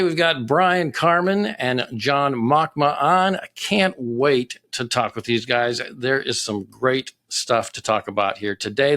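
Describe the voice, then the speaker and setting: An upbeat man hosts a relaxed interview chat. He greets his guests eagerly and keeps the conversation friendly and casual. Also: medium pitch. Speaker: podcast host.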